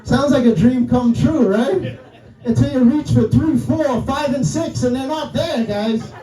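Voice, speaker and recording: A man's voice: very high voice, a little slow, warm tone. A man in his thirties with a New York accent doing a profane stand-up set, constantly checking in with the crowd. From New York City. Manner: brash, profane, keeps asking the crowd "right?" and "guys". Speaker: stand-up comedian. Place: comedy club stage. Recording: roomy club sound from a distance. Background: audience laughter.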